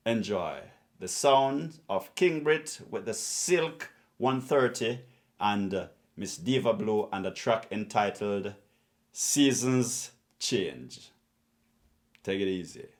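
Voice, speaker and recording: Slow-talking male radio host. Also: on the low side, lively intonation. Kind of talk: casual radio sign-off talk.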